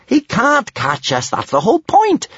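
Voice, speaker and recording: A man voicing a small, bold Viking girl from a storybook, teasing, reckless and excitable. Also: a little high, normal speed, husky, no room sound, highs cut off, pitched above the performer's own voice, full character voice.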